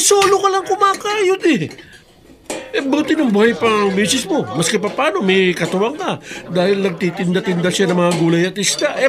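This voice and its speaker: An actor playing a blunt, exasperated middle-aged friend with a Filipino accent, scolding in colloquial radio drama style. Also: male voice, high voice.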